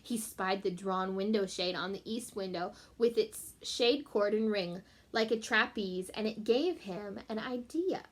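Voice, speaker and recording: A woman's voice: mid-range voice, a little slow, hardly any pauses. A woman teacher reading a children's storybook aloud, expressively, with chatty asides and simple explanations for her pupils.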